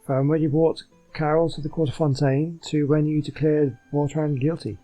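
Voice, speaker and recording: A man giving casual playthrough commentary on a video game, low-key and a little hesitant. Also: fairly even intonation, moderate pace, warm tone, faint music behind, low-quality recording. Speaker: gaming video host.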